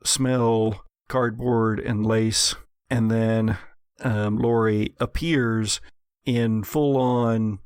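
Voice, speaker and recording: A man's voice: lowish voice, fairly even intonation, extremely slow, a little mellow, smooth, no echo, studio quality. A man running a tabletop roleplaying game as game master, moving between dramatic scene narration and casual rulings.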